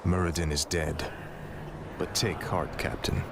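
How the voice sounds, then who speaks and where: Deep-voiced, proud warrior prince. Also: male voice, fairly even intonation, a little slow, gravelly, background noise. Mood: cold, resolute. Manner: grave, imperious, intense. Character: proud, obsessed young human warrior prince. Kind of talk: dramatic video game cutscene acting.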